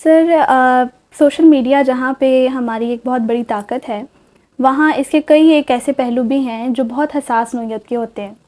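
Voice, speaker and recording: A woman's voice: high-pitched, measured pace, darkish tone. A woman with a Pakistani accent hosting a talk show, speaking politely with hesitant, filler-laden phrasing. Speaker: programme host. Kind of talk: interview on a literary and social talk show.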